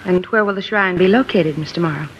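A woman's voice: on the low side, quick pace, slight background noise, lightly acted. A woman playing a polite, eager secretary. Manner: polite, bright, playing along. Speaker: secretary going undercover. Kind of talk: 1950s radio drama acting. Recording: dull lo-fi 1950s radio broadcast.

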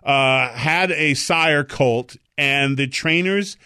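A man co-hosting a talk show, chatting casually, joking and rambling, with sudden loud excited outbursts. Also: mid-range voice, takes it slowly, clear, resonant tone, dry recording, clean studio sound. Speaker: co-host.

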